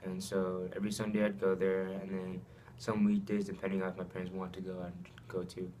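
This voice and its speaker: Deep, flat-voiced young man, hesitant. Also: a little fast, a little hiss or hum. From Saipan, raised in Japan. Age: young adult. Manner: hesitant, many ums and likes. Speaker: student athlete interviewee. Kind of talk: casual interview answers.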